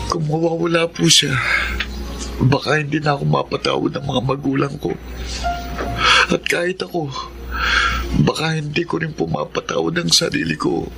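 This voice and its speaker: A man's voice: a little high, expressive, moderate pace, creaky, pauses often. A male actor playing an emotional, earnest young man, pleading as a brother and son in a Filipino radio drama, with music behind him. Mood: desperate pleading.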